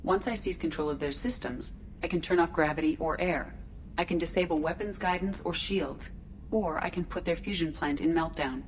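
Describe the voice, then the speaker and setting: A woman voicing a starship artificial intelligence in a video game, measured, precise and detached in manner. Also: on the low side, close to an everyday voice. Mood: calm, detached. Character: calm, formal female starship artificial intelligence. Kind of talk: scripted video game dialogue. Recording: clear background noise, ship ambience.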